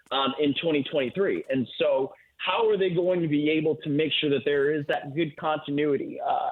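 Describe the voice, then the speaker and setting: A man giving football analysis as a guest in a sports radio interview, heard over a remote call. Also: a little high. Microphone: phone or remote call. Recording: thin, phone-like line.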